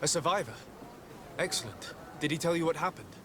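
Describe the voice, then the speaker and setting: A man's voice: fairly high pitch, animated, fairly quick, noisy background, lightly acted. An actor playing a formal, determined young nobleman and envoy in a video game cutscene, speaking with probing authority. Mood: concerned.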